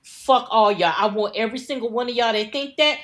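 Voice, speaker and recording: A fired-up woman from the United States co-hosts a news podcast in a blunt, profane rant. Her voice sits somewhat high for a woman, and her delivery stays heated. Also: brisk, a bit bright. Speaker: podcast co-host. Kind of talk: heated casual news commentary.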